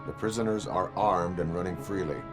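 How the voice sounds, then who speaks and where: A man's voice: sits low, fairly quick, over music, close to an everyday voice. A man voicing a stoic, righteous cyborg police officer in a video game, speaking in terse, formal commands. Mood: commanding.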